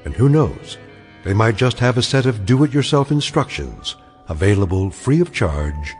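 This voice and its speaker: A man's voice: fairly low pitch, animated, normal speed, a little mellow. A man delivering a dry, sardonic scripted closing narration, music beneath. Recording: with music underneath.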